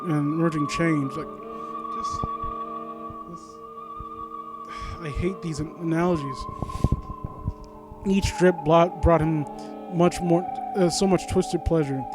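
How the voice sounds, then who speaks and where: A man giving casual, blunt commentary as he reads and critiques a story, often stammering and rephrasing, with some swearing. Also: on the high side. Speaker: host of a story critique series. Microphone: new handheld microphone, uneven distance, voice drifts soft. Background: music in the background.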